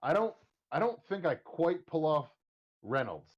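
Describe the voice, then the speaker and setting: A man's voice: fairly high pitch, very animated, a little slow, muffled recording. A man hosting a casual chat show, rambling and joking with crude language. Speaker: podcast host.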